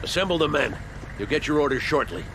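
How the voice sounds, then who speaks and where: An actor playing a hardened, guilt-ridden army colonel who gives gruff orders. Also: male voice, a little high, lively intonation, quick pace, noisy background, close to an everyday voice. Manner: gruff and commanding.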